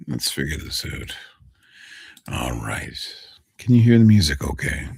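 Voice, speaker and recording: A man's voice: a bass voice, sing-song, measured pace, creaky, darkish tone, breathy edge, no echo. A man, a voice actor, chatting casually on a livestream and talking straight to a viewer. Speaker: voice actor streamer.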